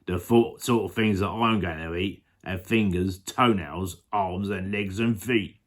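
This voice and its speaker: Man as a sly, low-voiced greedy crocodile. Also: unhurried. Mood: smug, greedy. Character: sly, greedy, gloating male enormous crocodile.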